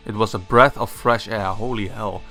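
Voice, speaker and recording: A young man with a Dutch accent, casually giving his opinions on video games, enthusiastic and quick to laugh at himself. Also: on the low side, steady tone, pushed and bright, rough recording. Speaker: gaming YouTuber. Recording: faint background music.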